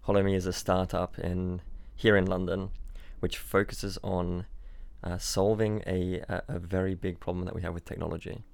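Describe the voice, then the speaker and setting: A man's voice: deep voice, level tone, normal speed, vocal fry, hardly any pauses, a little mellow, dry recording. A young man born in Switzerland and raised in Australia, a startup founder chatting casually as a podcast guest, often repeating words and restarting sentences. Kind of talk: casual interview chat. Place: London.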